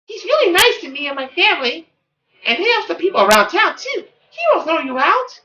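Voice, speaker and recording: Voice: extremely high pitch, big pitch swings, fairly quick, sharp tone, reverberant, pitched above the performer's own voice, exaggerated voice. A performer voicing a plucky, polite seven-year-old noble girl in a childlike, outspoken way. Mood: earnest. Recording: dull lo-fi audio with faint music.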